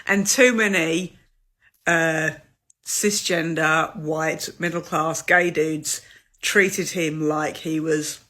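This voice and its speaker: A speaker mocks and goads someone in a short clip. The tone is bright and sharp. The pitch sits in the middle. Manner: mocking, confrontational. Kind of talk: ranting social media video.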